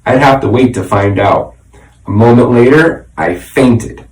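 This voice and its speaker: A man narrating a children's storybook in an expressive, dramatic way, like a teacher reading aloud. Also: mid-range voice, a little slow. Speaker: reader, teacher. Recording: roomy echo, slightly distant mic.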